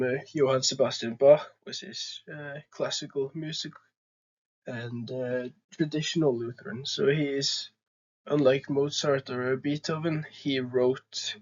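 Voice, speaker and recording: A man's voice: mid-range voice, lo-fi sound. A young man with a Norwegian accent, hosting his own channel and talking earnestly with frequent hesitations. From Norway. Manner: hesitant, many "uh" fillers, earnest. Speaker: channel host. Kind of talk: casual talking-to-camera vlog.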